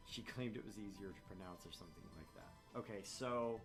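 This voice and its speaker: A man's voice: on the low side, fairly quick, music in the background. A man hosting a gaming video, reading a visual novel aloud and adding casual, joking commentary.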